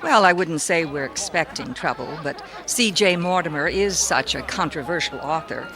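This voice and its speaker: An actress playing a brisk, polished publishing publicist in a radio mystery, businesslike and diplomatic. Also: female voice, low voice, lively intonation, over music, a crowd in the background, poor audio. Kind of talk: old-time radio mystery acting.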